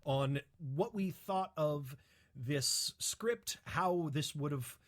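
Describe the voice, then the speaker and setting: A man's voice: on the high side, expressive, slow. A man co-hosting a podcast, chatting casually in an appreciative, easygoing manner. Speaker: podcast co-host. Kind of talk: casual chat.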